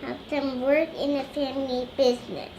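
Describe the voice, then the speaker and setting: Young girl, high voice, halting storybook read-aloud, stumbles and repeats, echoey noisy room. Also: flat delivery, mellow. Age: child. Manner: hesitant, stumbling over words, repeating phrases. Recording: roomy echo with clear background noise.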